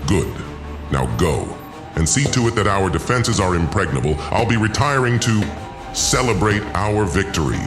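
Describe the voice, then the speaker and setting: Man voicing a deep, gloating tyrant overlord. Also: expressive, music in the background. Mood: menacing, commanding. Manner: commanding, pompous, deliberate menace. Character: gloating, menacing tyrant alien lord, imperious villain. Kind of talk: first-person audio drama villain.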